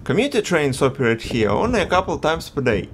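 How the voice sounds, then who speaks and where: A man's voice: a little high, big pitch swings, heavy background noise. Man narrating a travel film. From Russia. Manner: earnest, measured storytelling. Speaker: travel vlogger.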